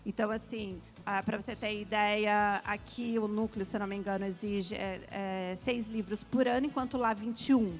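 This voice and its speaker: Uma mulher brasileira de meia-idade, especialista em educação internacional, explicando com calma e muitos "né" numa entrevista. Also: female voice, medium pitch, moderate pace, few pauses, faint music behind. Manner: explains at length, frequent "né" tags. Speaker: international education specialist, guest. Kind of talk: explanatory interview talk.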